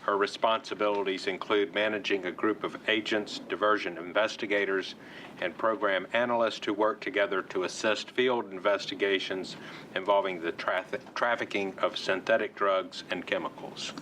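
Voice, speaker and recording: A man chairing a hearing, introducing witnesses in a brisk, procedural way. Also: on the low side, steady tone, a bit bright. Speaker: commission chair. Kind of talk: formal introductions. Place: hearing room. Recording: noisy hearing room recording.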